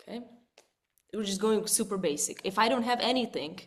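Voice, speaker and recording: A woman leads a live online webinar as a vocal coach. She talks in a lively way, repeats herself and often checks in with "right?" Her voice sits at an ordinary middle pitch. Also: brisk. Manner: lively, repeats words, asks listeners "right?".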